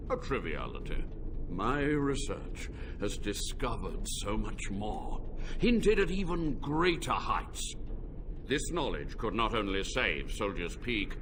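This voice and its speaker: An actor playing an ancient male mage, a proud and unrepentant scholar, speaking slowly and gravely in a video game cutscene. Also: mid-range voice. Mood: intense. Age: older. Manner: slow, grave, measured delivery. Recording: game audio with steady ambient noise.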